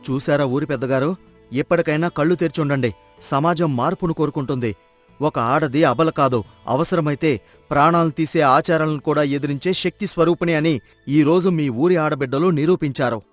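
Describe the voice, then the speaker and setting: Man voicing a resolute young storybook hero, higher-pitched. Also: moderate pace, dry recording, soft background music, close to an everyday voice. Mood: stern, righteous. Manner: earnest, declamatory, emphatic. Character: heroic young man, resolute reformer, protective elder brother. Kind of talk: dramatised moral story.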